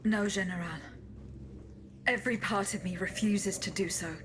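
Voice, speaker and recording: A woman voicing a composed, resolute female automaton bodyguard in a video game, measured and formal. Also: medium pitch, noisy background, natural voice. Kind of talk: dramatic video game acting. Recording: music behind the voice.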